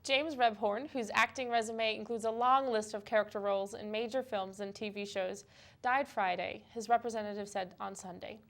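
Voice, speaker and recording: A woman's voice: mid-range voice, steady tone, normal speed. A woman co-anchoring a news segment, switching between scripted reading and casual chat.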